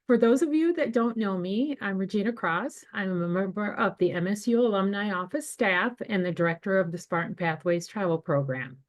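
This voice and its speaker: A woman's voice: mid-range voice, normal speed, hardly any pauses. Woman, webinar host, scripted introduction, video call. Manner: reads a prepared introduction. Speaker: alumni office host.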